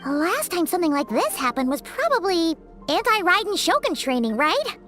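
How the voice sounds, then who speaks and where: A woman's voice: big pitch swings, moderate pace, fairly bright tone, soft background music, heavily acted. A woman voicing a tiny, chirpy female fairy companion in a video game who talks about herself in the third person. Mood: musing. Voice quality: squeaky, bouncy, refers to self in third person.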